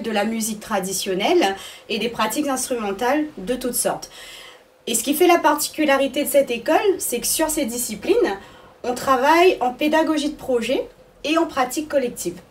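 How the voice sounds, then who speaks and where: Woman school director explaining stuff in an interview, highish voice, bit hesitant, mic a little far off. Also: moderate pace.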